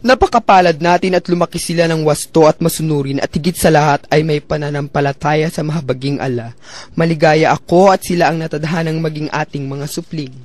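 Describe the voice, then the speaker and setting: A man's voice: a little high, steady tone, brisk, darkish tone, no room sound, natural voice. A man playing a pious, devoted husband and chieftain, speaking in formal, earnest drama style. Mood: grateful. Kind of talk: melodramatic radio-drama acting.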